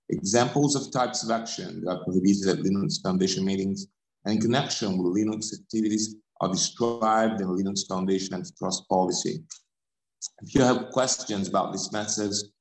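A man's voice: lowish voice, darkish tone, roomy sound, low-quality recording. A man hosting a video call, reading out a policy statement and then introducing the speaker. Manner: formal reading, then brief welcoming. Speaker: meeting host.

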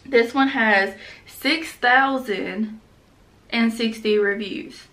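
A woman's voice: on the high side, slow, fairly bright tone, far from the mic. A young woman chatting frankly in a casual fashion haul vlog, full of "like" and slang, talking to a camera a few feet away in a small room at home. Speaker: fashion vlogger. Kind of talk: casual try-on haul chat.

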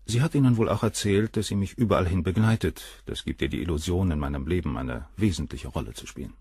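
A man's voice: low-pitched, fast talker, a little mellow, husky, dry recording. An actor playing a proud, coldly condescending male surgeon in a crime radio play, speaking in a composed, articulate way. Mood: cool, condescending. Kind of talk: German radio crime drama acting.

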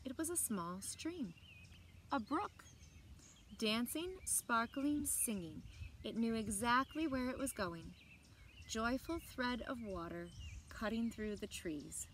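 A woman in her thirties reading a children's picture book aloud expressively, with deliberate phrasing and dramatic emphasis. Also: a little high, animated, fairly bright tone. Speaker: library story time reader. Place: outdoors on a lawn by trees. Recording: outdoor background noise with birdsong. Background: outdoor ambience, birds.

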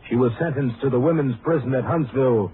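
A man's voice: medium pitch, quick pace. A man giving formal scripted narration in the style of a 1950s radio drama. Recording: muffled, hissy old radio recording.

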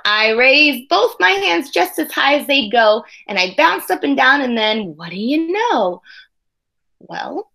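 A woman in her thirties reading a picture book aloud to young children with expressive emphasis and casual asides, in a room at home. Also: high-pitched, a little slow, vocal fry. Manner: expressive, exaggerated storytelling emphasis. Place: small room at home, indoors.

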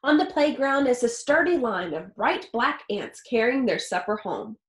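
A woman in her thirties reads a children's picture book in a slightly high voice. She phrases deliberately, as a teacher would for young listeners. The small room gives her voice a clear echo. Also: sing-song, slight background noise. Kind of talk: expressive children's read-aloud. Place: small home office or study with wooden shelves. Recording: roomy, echoey indoor sound.

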